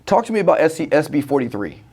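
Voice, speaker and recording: A man's voice: a little high, big pitch swings, rapid-fire, a little mellow, slightly rough. A man hosting a podcast interview, affirming his guest and prompting him with questions. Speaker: podcast host. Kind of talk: conversational interview.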